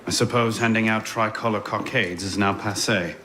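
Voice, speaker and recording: An actor playing a young, determined adventurer in a video game, speaking in a wry, clipped manner. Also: male voice, on the low side, steady tone, moderate pace, sharp tone, a little hiss or hum. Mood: wry, intent. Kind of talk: video game cinematic acting. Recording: background music under the voice.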